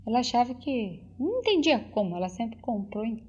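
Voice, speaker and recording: An older woman, recalling things as an interviewee and often pausing to search for words and restart. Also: on the high side, big pitch swings, darkish tone, breathy edge. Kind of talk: casual spoken reminiscence. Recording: dull lo-fi tape recording.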